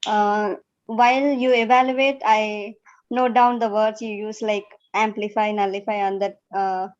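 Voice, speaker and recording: A woman's voice: medium pitch, level tone, unhurried, a little mellow, highs cut off. A woman taking part in a training session, asking and answering questions casually.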